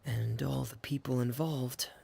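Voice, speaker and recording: A man voicing a soft-spoken, timid and solemn teenage boy in an anime video game, hesitant and subdued. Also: medium pitch, fairly even intonation, unhurried, gravelly, breathy edge, faint music behind, heavily acted.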